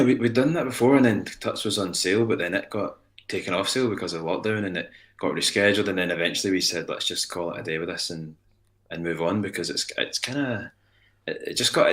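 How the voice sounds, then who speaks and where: A young Scottish musician chatting casually as a guest, rambling and halting, often repeating his words. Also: deep voice, a bit bright. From Scotland. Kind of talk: casual radio chat.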